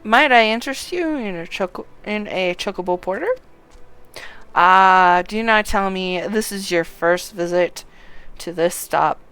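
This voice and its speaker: A woman's voice: mid-range voice, a little slow, fairly bright tone, clear, resonant tone. A woman voicing a polite, formal stable porter greeting a traveler in a video game. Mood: courteous, welcoming. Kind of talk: video game character dialogue read aloud.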